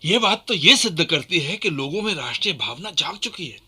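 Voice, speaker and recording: A man's voice: fairly high pitch, lively intonation, fairly quick, bright, forceful tone, slightly rough, heavily acted. An actor playing a curious, admiring Indian townsman in radio play dialogue, exclamatory and fond of repeating his words. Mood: impressed. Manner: exclamatory, eager listener, repeats phrases.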